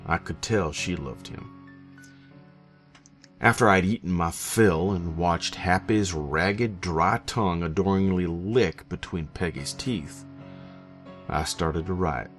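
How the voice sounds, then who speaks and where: A man reading a first-person horror story in a deliberate, theatrical way with dramatic pauses. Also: deep voice, a bit bright. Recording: narration over background music. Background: eerie background music.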